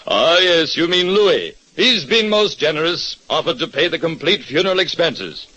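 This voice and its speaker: Bright-voiced, unctuous funeral director. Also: male voice, a little high, animated, normal speed. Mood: unctuous, pleased. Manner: formal, oily, solemn salesman. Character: Thaddeus Morton, unctuous, grasping funeral director. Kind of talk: 1940s radio detective drama acting. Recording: muffled vintage radio recording.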